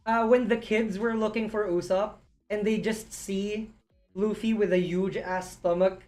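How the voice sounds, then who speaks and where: A woman hosting a casual review chat, excitable and quick to laugh, often restarting her sentences. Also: medium pitch, soft background music. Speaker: host reviewing a series.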